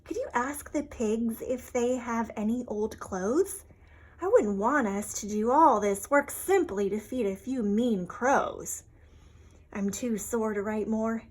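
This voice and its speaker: Kindhearted, tired storybook girl, female reader: measured, earnest, slow delivery. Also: fairly high pitch. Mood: weary, earnest. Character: weary, sore, kindhearted young girl writing to a friend. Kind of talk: storybook letter read-aloud.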